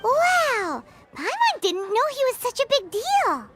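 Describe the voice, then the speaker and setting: Tiny fairy sidekick, very high squeaky voice, wildly bouncing pitch, chatty and greedy, game cutscene with loud noise behind. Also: female voice, huge pitch swings, slow pace, heavily acted. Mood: cheeky. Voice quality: high, squeaky, refers to herself by name.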